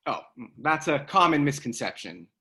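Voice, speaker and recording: A man's voice: on the high side, normal speed, gravelly, full character voice. A man playing a blunt, dryly condescending job counselor in a script table read. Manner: deadpan, blunt.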